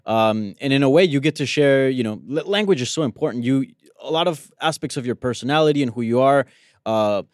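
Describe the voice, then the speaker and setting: Easygoing co-host, a native Spanish speaker. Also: male voice, medium pitch, lively intonation, fairly quick, no echo, studio quality. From Colombia. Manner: easygoing, many fillers like you know. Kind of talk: casual MMA talk.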